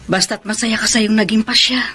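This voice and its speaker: A woman's voice: fairly high pitch, fairly even intonation, fairly quick, bright, forceful tone, a little hiss or hum. An actress with a Filipino accent playing a caring, cautious older mother in a radio romance drama. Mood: gentle warning.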